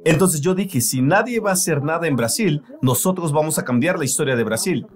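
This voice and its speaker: A man's voice: on the high side, expressive, brisk. A man preaching a sermon in an earnest, emphatic way, voicing it as a translation.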